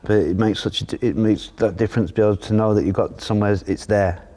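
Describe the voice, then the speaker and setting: A man's voice: lowish voice, fairly even intonation, fast talker, warm tone. A middle-aged man with a British accent, speaking casually and reflectively as he answers interview questions. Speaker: housing tenant being interviewed.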